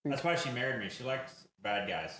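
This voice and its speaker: A man's voice: mid-range voice, animated, brisk, fairly bright tone, echoey room, poor audio. A man joining his wife's cooking stream, joking and teasing her in casual banter. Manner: teasing, playful. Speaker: co-host, host's husband.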